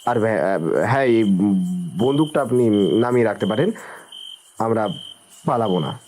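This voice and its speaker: A man's voice: fairly low pitch, expressive, unhurried, a little mellow, a little hiss or hum. A man playing a nervous, evasive young suspect in an audio drama, stammering and protesting in an agitated way. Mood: wary, resigned. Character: Hossain, nervous, evasive young man under police suspicion.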